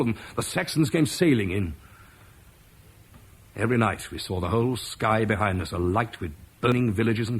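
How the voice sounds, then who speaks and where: An actor playing Marcus, a duty-bound Roman officer, in an old radio play, earnest and measured. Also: male voice, medium pitch, breathy edge. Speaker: Roman commander leaving Britain. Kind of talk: 1950s BBC radio drama acting.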